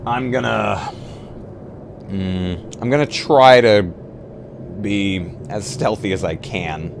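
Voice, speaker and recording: A man with a very deep voice talks through his moves in a tabletop game. He is casual and halting, working it out as he goes. Noise is clearly audible behind him. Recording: live stream with clear background noise.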